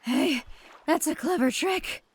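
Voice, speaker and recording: A woman's voice: sits high, takes it slowly. A woman voicing a cheeky, verbose, manic male rogue, theatrical and flippant, in a comic fan dub.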